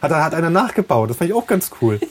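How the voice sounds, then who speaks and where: Fast-talking, husky man. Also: a little high, big pitch swings. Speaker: podcast co-host. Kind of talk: casual group chat.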